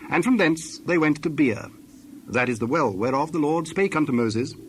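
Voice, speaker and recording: A man narrating dramatized scripture from a script, in measured, solemn short phrases. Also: on the high side, expressive, slight background noise.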